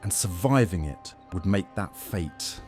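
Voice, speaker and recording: A man playing a young Roman vampire master in an audio drama, measured, teasing and faintly threatening. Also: low voice, animated, a little mellow, soft background music. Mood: solemn warning. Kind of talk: audio drama roleplay acting.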